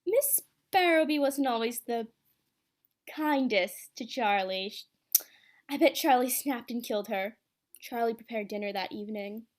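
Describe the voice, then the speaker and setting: A woman's voice: fairly high pitch, expressive, unhurried. A woman playing an anxious, earnest nurse in an amateur radio play. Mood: accusing. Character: anxious, pleading young female nurse under suspicion.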